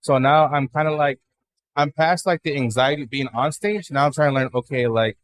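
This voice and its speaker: A man in his twenties from Dallas, a new stand-up comic, chatting casually as a podcast guest with lots of fillers and swearing. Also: medium pitch. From Dallas, Texas. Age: 20s. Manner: rapid, filler-heavy, profane. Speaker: guest comedian. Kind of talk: casual interview chat.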